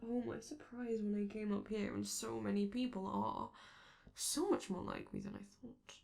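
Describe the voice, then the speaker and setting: A performer, a woman, playing a vain, affectionate and theatrical robot star in an ASMR roleplay, with halting, doting asides and a creaky voice. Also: medium pitch, big pitch swings, a little mellow. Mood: playfully vain.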